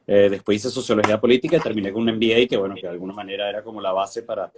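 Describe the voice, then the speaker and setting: A man in his thirties from Venezuela, answering interview questions in a relaxed, thoughtful way with frequent fillers and restarts. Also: on the low side, rapid-fire. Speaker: guest, winery director.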